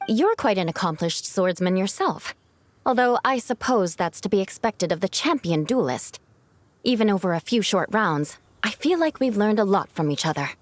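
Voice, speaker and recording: A woman's voice: mid-range voice, expressive, normal speed, dry recording, lightly acted. A woman voicing a confident, sporting young knight in a video game, poised and politely competitive. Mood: gracious.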